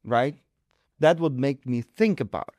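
Male interview guest, middle-aged surgeon, Ukrainian accent, emphatic explainer, mid-pitched, close dry sound. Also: expressive, moderate pace, a little mellow, no room sound. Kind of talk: conversational interview.

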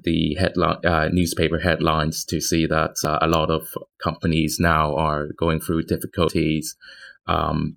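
A man with a very low-pitched voice gives conversational market commentary as a podcast guest. His delivery is tentative and careful, often halting as he weighs his view. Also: gravelly, a bit bright. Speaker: podcast guest, financial analyst or reporter.

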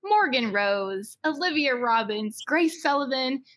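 A woman's voice: high-pitched, animated, unhurried, fairly bright tone. A woman from Mississippi, co-hosting a podcast in an upbeat, chatty way. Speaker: podcast co-host.

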